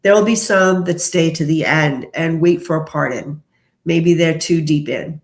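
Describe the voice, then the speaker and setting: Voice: medium pitch, measured pace. A tarot reader hosting a prediction monologue, moving between short declarative pronouncements and casual, conversational explanation. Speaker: tarot reader, host of the channel. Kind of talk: tarot prediction monologue mixing read-out notes and casual commentary. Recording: roomy, echoing indoor sound.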